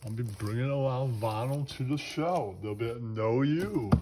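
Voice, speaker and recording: A man's voice: medium pitch, normal speed, natural voice. A man voicing a bragging, taunting puppet who talks in slangy, repeated catchphrases. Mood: boastful. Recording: clearly noisy, crackling and crinkling.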